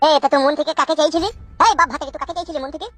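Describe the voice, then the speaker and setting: Man acting a pleading, excitable village husband. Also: extremely high pitch, rapid-fire. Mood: pleading, exasperated. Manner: coaxing, theatrical, colloquial. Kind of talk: comic cartoon dubbing.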